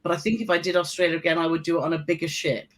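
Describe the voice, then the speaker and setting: A woman's voice: on the low side, little pitch movement, very fast. Chatty British woman guest. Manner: chatty, asks for agreement with tag questions. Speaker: guest cruise vlogger. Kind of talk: casual live-stream chat.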